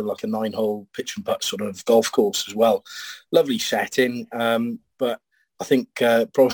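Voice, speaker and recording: A man's voice: mid-range voice, darkish tone, slightly rough, no echo, poor audio. A man reminiscing in an easygoing, fond way. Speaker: guest, former pupil. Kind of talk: reminiscing in a group video chat.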